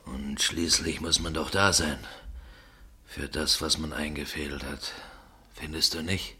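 An actor playing a gruff, self-justifying officer on night duty in a German radio play, rough-voiced and colloquial. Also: male voice, very deep voice, flat delivery, a little slow, pushed and bright, full character voice. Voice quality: rough, husky. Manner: colloquial, grumbling.

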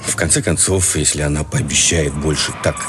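An actor playing an earnest, worried priest in a radio play, mild-mannered, hesitant and admonishing. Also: male voice, a bass voice, steady tone, fairly quick, background noise. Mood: conciliatory.

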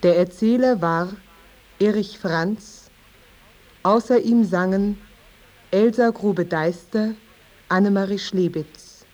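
A woman's voice: mid-range voice, slow pace, long pauses, a little mellow, rough recording. A woman radio announcer reading scripted credits in a formal manner.

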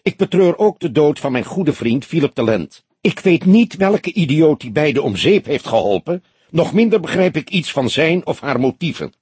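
A man's voice: a little high, sing-song, normal speed, darkish tone, slightly rough, no room sound, voice pushed up, exaggerated voice. A man voicing an arrogant, venomous businessman in his thirties in an audiobook, snappy, impatient and haughty. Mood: impatient, defensive. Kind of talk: Dutch audiobook character dialogue.

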